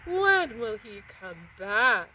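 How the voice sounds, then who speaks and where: A woman's voice: a little high, big pitch swings, very slow, bright, forceful tone, a little hiss or hum, exaggerated voice. A woman voicing an eager, curious young girl in a storybook, earnest and questioning. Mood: impatient, longing. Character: eager, curious young village girl who loves books.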